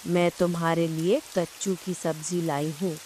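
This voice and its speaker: A woman's voice: on the low side, little pitch movement, normal speed, darkish tone, lightly acted, low-quality recording. A woman voicing a proud, caring village mother-in-law and home cook in a cartoon story. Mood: brisk, pleased. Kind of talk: dramatised cartoon story dialogue. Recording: faint hiss with rain sounds.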